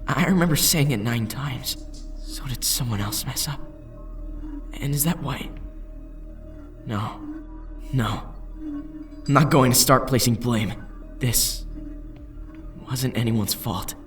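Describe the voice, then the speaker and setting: Guy voicing teen schoolboy in a game dub, mid pitch, earnest, kinda wary n flustered, music behind. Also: slow, long pauses, lightly acted. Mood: defensive. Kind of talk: dramatic video game dub acting. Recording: background music under the voice.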